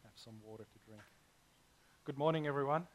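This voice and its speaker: A man, hoarse this morning, preaching a sermon as pastor with earnest, emphatic delivery. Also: mid-range voice, brisk.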